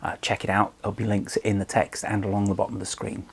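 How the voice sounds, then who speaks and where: Gravelly low-voiced male channel host. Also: monotone, fast talker. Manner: loose, filler-heavy, friendly plugs. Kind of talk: casual vlog chat.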